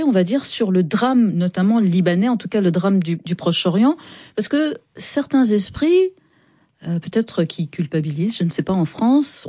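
A woman hosting a radio interview in a studio, asking measured questions with some hesitation. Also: medium pitch, mellow, dry recording.

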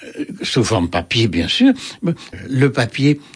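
A man's voice: mid-range voice, expressive, takes it slowly, husky. An older man, an interviewed writer, answering in a musing, hesitant way with many fillers and restarts.

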